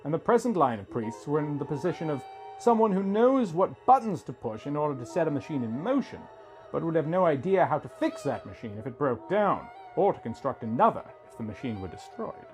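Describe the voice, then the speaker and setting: A man reading a scripted first-person story as a dramatic narrator, in a theatrical, deliberate manner. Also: on the high side, huge pitch swings, over music.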